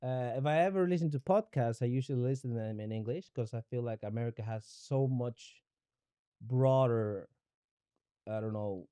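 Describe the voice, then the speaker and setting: A young man from Ecuador, Spanish his first language, thinks aloud as a podcast guest. His voice is warm and mellow, his sentences often restart and circle back, and the sound is close and dry. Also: medium pitch, moderate pace, clean tone, no echo. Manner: searching, with frequent restarts and repeated words. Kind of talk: reflective conversational interview.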